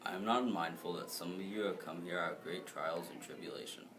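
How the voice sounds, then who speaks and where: Teen male student reader, deep register, noisy recording. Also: brisk. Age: teenager. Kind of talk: reading a famous speech aloud. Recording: clear background noise.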